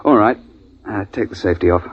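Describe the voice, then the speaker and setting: An actor playing a brisk, commanding British big-game guide who tells his story in 1950s radio drama style, clipped and authoritative. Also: lowish voice, heavy background noise, close to an everyday voice. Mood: calm explaining. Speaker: narrator and safari leader. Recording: dull vintage 1950s radio broadcast.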